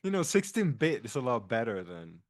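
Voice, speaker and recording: A man's voice: medium pitch, sing-song, moderate pace, no echo, clean studio sound. Easygoing man in casual podcast talk. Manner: easygoing, laughs along. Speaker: podcast panelist. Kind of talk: casual chat.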